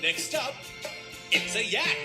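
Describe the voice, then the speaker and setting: A woman's voice: on the high side, sing-song, takes it slowly. A woman presenting an educational children's song, giving upbeat spelling prompts. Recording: echoey, with loud music behind.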